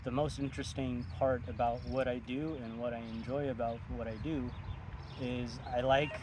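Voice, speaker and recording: A young man from Ethiopia speaks in a measured, thoughtful way, often pausing with an um. He sounds like a chef explaining his cooking outdoors. Traffic noise runs clearly underneath. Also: mid-range voice, fairly even intonation. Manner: thoughtful, measured, with frequent ums. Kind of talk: casual interview chat outdoors.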